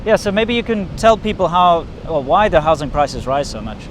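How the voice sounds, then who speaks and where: Higher-pitched man vlogging outdoors. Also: sing-song, moderate pace. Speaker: video host. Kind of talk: casual vlog to camera. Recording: outdoor rumble and wind noise.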